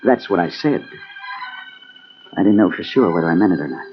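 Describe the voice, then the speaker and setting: A man's voice: low voice, lively intonation, fairly quick, over music. An actor playing an obsessed male investigator who narrates his own story in a dramatic, hardboiled old-time radio mystery style. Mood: brooding narration. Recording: dull, muffled old radio tape.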